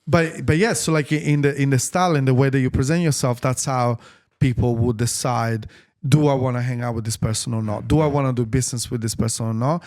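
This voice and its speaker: A man's voice: mid-range voice, brisk. A man from Italy speaking English with an Italian accent, a tailor talking casually in an interview, with lots of restarts and fillers. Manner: rambling, many restarts and fillers, animated.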